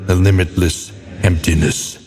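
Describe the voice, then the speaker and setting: A man playing a brooding, eloquent mentor who narrates a dark video game in a solemn, theatrical, portentous manner. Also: low voice, flat delivery, a little slow, long reverb tail, faint music behind. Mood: grave, reflective. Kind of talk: dramatic scripted game narration.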